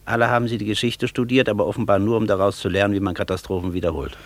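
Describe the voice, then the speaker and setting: An actor playing an aloof, world-weary old Faust who speaks in sententious, disdainful aphorisms in a German radio play. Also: male voice, fairly low pitch, flat delivery, natural voice. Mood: dry, sententious. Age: older. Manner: sententious, disdainful, deliberately simple.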